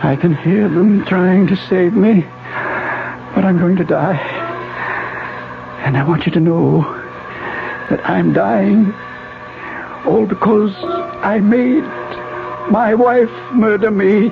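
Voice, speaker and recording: An actor playing a dying retired professor in a 1970s radio drama, confessing in a halting, grave, intense way. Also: male voice, high-pitched, creaky, full character voice. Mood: grave, fading. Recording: dull vintage radio, music behind.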